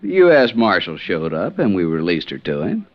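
Folksy, animated frontier lawman. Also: deep voice, moderate pace. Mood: soothing, admiring. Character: folksy, good-natured male frontier lawman. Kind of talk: 1950s radio western acting. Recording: dull vintage 1950s radio recording.

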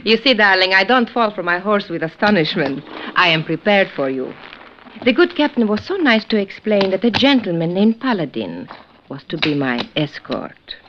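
A woman's voice: medium pitch, sing-song, moderate pace, close to an everyday voice. An actress playing a proud, worldly, wry woman prisoner in a 1950s radio western, with a theatrical, teasing delivery. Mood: proud, cool. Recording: noisy, dull vintage radio recording.

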